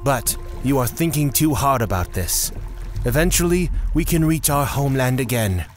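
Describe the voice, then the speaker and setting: A man playing a cautious, calculating clan leader in an audio drama, speaking in a measured, deliberate way. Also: medium pitch, animated, faint music behind, natural voice.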